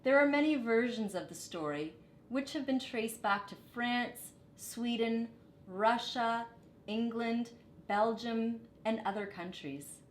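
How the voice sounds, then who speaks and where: A woman reading a children's story aloud with expressive, dramatic phrasing. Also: takes it slowly. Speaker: storybook reader. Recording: echoey room, faint low rumble.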